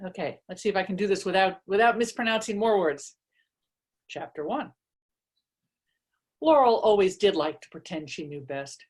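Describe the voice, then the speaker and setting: A woman author speaks in a conversational, self-deprecating manner at an informal book reading. Their voice sits at a middle pitch, and they move between casual chat and reading aloud. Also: expressive, normal speed, pauses often.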